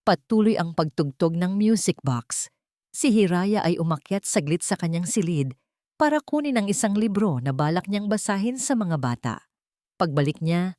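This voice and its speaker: Woman storyteller, lowish pitch, dry close sound, dramatic scripted narration, measured and suspenseful. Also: dark tone, dry recording.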